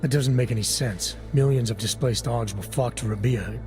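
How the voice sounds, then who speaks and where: An actor playing a stoic, guarded augmented agent in a video game, with a low gravelly voice and clipped, deadpan delivery. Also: male voice, normal speed, natural voice. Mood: grim, thoughtful. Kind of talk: video game cutscene acting. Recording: music under the voice.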